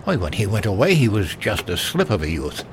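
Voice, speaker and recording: Man playing a grumbling, low-voiced older father. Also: big pitch swings, brisk, background noise. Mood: hearty, welcoming. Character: grumbling, hospitable older English father at home. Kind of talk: audio drama acting.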